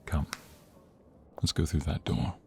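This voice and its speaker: A man playing a devoted, regal king in love in a romantic ASMR audio drama, speaking intimately in a breathy voice with courtly phrasing. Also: slow pace, a little hiss or hum, lightly acted. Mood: intimate, playful. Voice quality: deep, breathy, often whispering.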